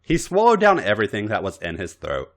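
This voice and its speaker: A man's voice: fairly low pitch, huge pitch swings, a little fast. A man narrating erotic fan fiction for a podcast in a theatrical, dramatic style. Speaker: podcast story reader.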